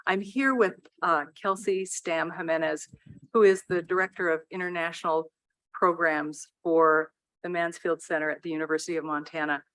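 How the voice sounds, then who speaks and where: Woman, presenter, polite, hesitant fillers, lowish voice, dry recording. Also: fairly even intonation, normal speed, fairly bright tone, no echo, rough recording. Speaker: program presenter addressing council. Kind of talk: formal presentation. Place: council meeting room.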